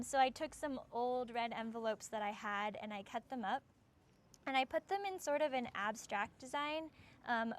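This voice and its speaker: A young American woman explaining something casually, with an informal way of talking full of "like" and "sort of". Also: fairly high pitch. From United States. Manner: informal, frequent 'like' and 'sort of'. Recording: clear background noise, ambient sound.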